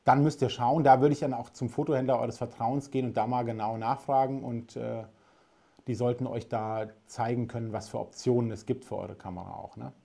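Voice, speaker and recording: A man's voice: medium pitch, steady tone, a little fast, vocal fry, dark tone. A man in his thirties hosting a casual live stream from a studio, chatty and talking straight to the viewers. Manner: friendly, chatty, addresses viewers directly. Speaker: host. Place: studio with dark backdrop.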